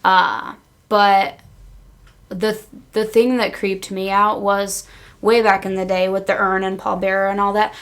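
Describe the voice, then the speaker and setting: A woman's voice: mid-range voice, little pitch movement, unhurried, fairly bright tone. A woman co-hosting a podcast, sharing stories in a casual, emphatic way. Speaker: podcast co-host. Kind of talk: casual storytelling chat.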